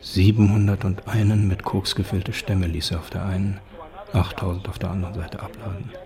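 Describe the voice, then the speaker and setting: A very low-voiced man reads documentary narration. His delivery is sober and factual, with a flat, level intonation. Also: fairly quick. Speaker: narrator reading documents and facts.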